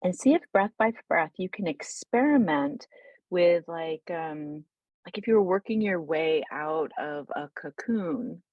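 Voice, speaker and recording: A woman leading an unscripted guided meditation in a soothing, unhurried, informal way, pausing mid-thought as she goes. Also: on the low side, animated, no echo, dull audio.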